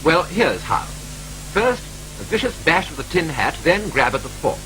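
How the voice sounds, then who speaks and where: A man with a British accent narrating a 1940s training film in a brisk, clipped, wryly matter-of-fact manner, from an old film soundtrack. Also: fairly high pitch, very animated, sharp tone, slight background noise, rough recording.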